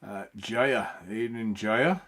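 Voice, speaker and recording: A man's voice: lowish voice, takes it slowly, fairly bright tone. A man hosting a casual live stream from home, chatting in a rambling way and greeting viewers by name.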